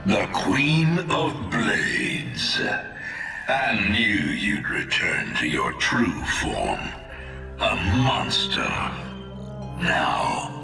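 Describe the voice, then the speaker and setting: A cruel, mocking emperor taunts in a deep voice that swings widely and drawls very slowly. He sinks into menacing whispers. The voice sounds distant and echoey over music. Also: exaggerated voice. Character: gloating male villainous emperor, cruel and mocking.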